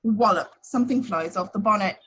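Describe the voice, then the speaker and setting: A woman's voice: medium pitch, brisk, a bit bright, breathy edge, lo-fi sound. A woman reading out scripted action descriptions as narrator at an amateur table read.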